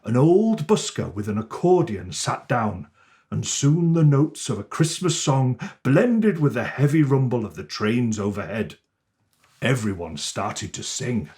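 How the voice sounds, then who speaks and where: A man reading a children's storybook as Father Christmas, with theatrical, deliberate and expressive phrasing. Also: medium pitch.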